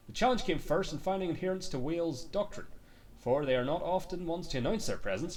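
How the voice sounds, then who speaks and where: A man's voice: on the high side, a little mellow, far from the mic. A man streaming a game and reading its books aloud as theatrical storytelling, dropping into muttered, sweary asides.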